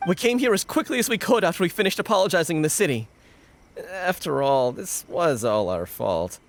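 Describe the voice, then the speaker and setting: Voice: medium pitch, expressive, fairly quick, close to an everyday voice. An actor voicing a loyal, anxious young gang member in a video game, speaking with earnest urgency. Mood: apologetic. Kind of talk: scripted video game voice acting.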